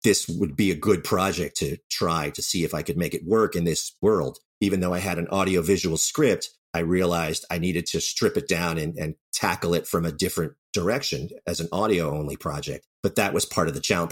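A man's voice: deep voice, a little fast, hardly any pauses, breathy edge. A man being interviewed as a writer and director, telling his stories in a candid, self-deprecating, conversational way. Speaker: writer and audio drama director, interview guest.

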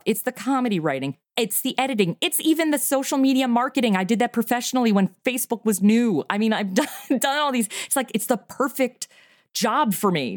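A woman's voice: on the high side, no room sound, studio quality. A woman talking eagerly and chattily about her comedy podcast as an interview guest. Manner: eager, chatty, quick to laugh. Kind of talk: casual interview chat.

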